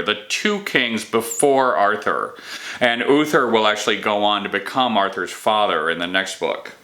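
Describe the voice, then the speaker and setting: A man's voice: medium pitch, pushed and bright. A man who is an author, presenting his novel and reading it aloud as narrator. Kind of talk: book introduction and narrated reading.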